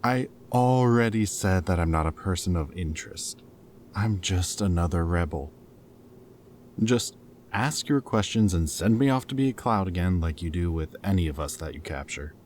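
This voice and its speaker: Very deep-voiced, earnest captured rebel played by a man. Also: lively intonation, darkish tone, slight background noise. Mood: defiant, exasperated. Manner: hesitant, halting, casual and earnest. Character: goofy male rebel scientist, captured, caring and guilt-ridden. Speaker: audio roleplay performer. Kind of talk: intimate dramatic audio roleplay.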